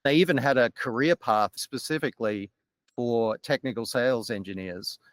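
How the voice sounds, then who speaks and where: A middle-aged Australian man, a podcast guest, talking in a measured, articulate way about his career. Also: medium pitch, no room sound. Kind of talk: podcast interview.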